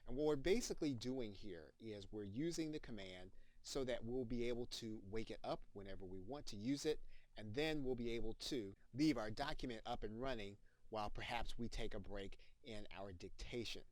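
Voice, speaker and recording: Low-voiced man narrating a tutorial. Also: darkish tone, no echo. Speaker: software tutorial instructor. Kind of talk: instructional screencast narration.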